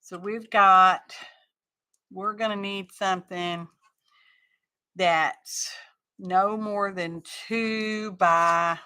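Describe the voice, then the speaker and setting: A middle-aged woman chats her way through a craft project on a live stream, rambling and answering her viewers. She talks slowly, her voice bright and forward, at an everyday pitch. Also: fairly even intonation, clean tone. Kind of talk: casual chat while crafting on a live stream.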